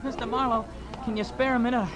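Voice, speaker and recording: An actor playing an earnest, worried newsboy, hesitant and slangy, in a 1940s radio drama. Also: male voice, very high-pitched, level tone, fast talker. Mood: anxious, earnest. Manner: hesitant, slangy, plain-spoken. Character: worried, earnest streetwise newsboy, polite to adults. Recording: vintage radio, crowd murmur behind.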